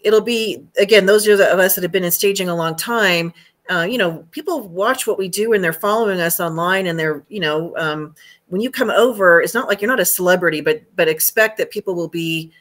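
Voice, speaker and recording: A woman's voice: fairly low pitch, brisk, fairly bright tone. A middle-aged American woman hosting a video call, chatting casually in a rambling way. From United States. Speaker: host, home staging trainer. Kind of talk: casual chat. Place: indoor room at home.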